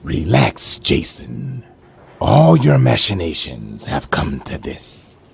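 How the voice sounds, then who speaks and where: An actor playing a smug, menacing crime lord with a theatrical, condescending, taunting delivery. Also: male voice, fairly low pitch, huge pitch swings, takes it slowly, gravelly, husky, heavily acted.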